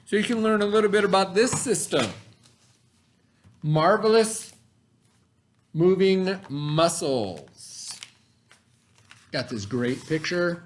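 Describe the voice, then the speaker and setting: A man teaching by reading aloud to pupils, pausing to explain in casual asides and check that they follow. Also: high-pitched, expressive. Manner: pauses to explain, checks in with "okay?".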